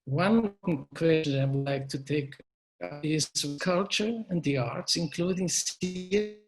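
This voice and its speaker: A man's voice: on the high side, faint music behind, poor audio. Earnest man. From Swiss and Italian. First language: German. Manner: earnest, slightly halting non-native phrasing. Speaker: poet and organization director, panel presenter. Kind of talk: prepared presentation on a video call. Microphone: video call.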